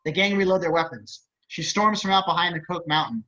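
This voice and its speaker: A man with a fairly high, bright voice reads out stage directions in scripted narration, casual and wry. The audio is slightly dull, like a video call. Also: expressive, fairly quick. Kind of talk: scripted narration at an online table read.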